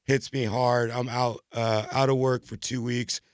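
A young American man with a hoarse voice, chatting casually as a podcast co-host in a rambling, slangy way. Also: medium pitch, flat delivery, a little slow, no echo, clean studio sound. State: hoarse, recovering from illness. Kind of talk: casual chat.

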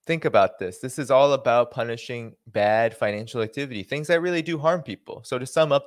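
A man's voice: medium pitch, dry recording. A man with a Boston accent hosting a livestream, reading a policy document aloud and breaking off into dry sarcastic asides. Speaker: host.

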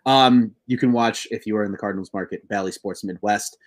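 A man in his twenties hosting a casual sports podcast, excitable and swearing, slightly buzzed. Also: lowish voice, fairly quick. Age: 20s. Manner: excitable, swearing, laughing, bro-ish banter.

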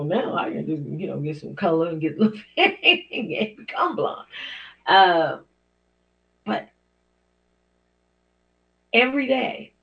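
A middle-aged woman hosting her own show, talking in an encouraging, preacherly way with small laughs. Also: fairly bright tone. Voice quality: deep for a woman. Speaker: host. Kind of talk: inspirational talk show monologue. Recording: dull lo-fi podcast audio.

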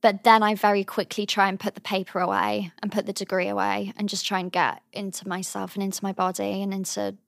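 Earnest young Englishwoman with a high voice. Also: level tone, fairly quick, gravelly, few pauses, no room sound. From England. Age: young adult. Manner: thoughtful, earnest, softly trailing phrases. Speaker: interview guest, drama student. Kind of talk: reflective interview answers.

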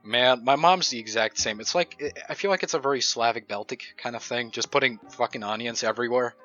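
Blunt, bright-voiced young man from Ukraine. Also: mid-range voice, fairly quick, lo-fi sound, soft background music. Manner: blunt, swears freely. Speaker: podcast co-host. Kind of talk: casual chat.

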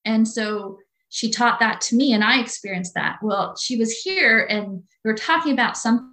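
A woman's voice: on the high side, moderate pace, pushed and bright, low-quality recording. A woman in her late forties talking earnestly and from the heart as a guest in a faith-based conversation, often repeating her phrases. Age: 40s.

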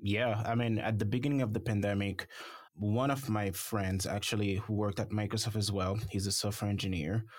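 A man from Haiti, a startup founder, answering interview questions in a measured way with many fillers. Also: lowish voice, fairly even intonation, no echo. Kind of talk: conversational interview.

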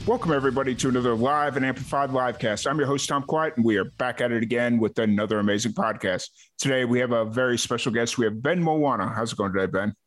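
A man's voice: mid-range voice, fast, few pauses. A man hosting a music podcast, chatting casually with his guest. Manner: relaxed, chatty, with filler words and restarts. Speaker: podcast host. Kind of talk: casual interview chat.

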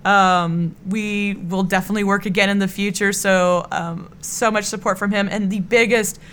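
A woman's voice: mid-range voice, steady tone, moderate pace, fairly bright tone, clear, resonant tone. A middle-aged woman with a Texan accent, an earnest, effusive and rambling singer chatting casually as an interview guest. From Texas, USA. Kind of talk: casual radio interview chat.

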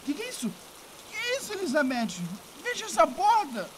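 A man's voice: very high-pitched, very animated, fairly quick, background noise. A man with a Brazilian accent playing a pompous, complaining, dramatic nobleman in a period audio drama, with rain behind him. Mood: fussy, outraged. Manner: theatrical, complaining, haughty. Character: pompous, whiny, dramatic young nobleman cousin.

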